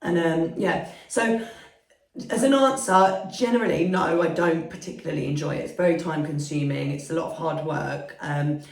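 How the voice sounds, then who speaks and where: An Englishwoman co-hosting a podcast, husky from a flu, talking frankly and swearing freely. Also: low-pitched, normal speed. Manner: blunt, swears freely, self-assured. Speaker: podcast co-host. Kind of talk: frank casual chat. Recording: roomy echo, distant mic.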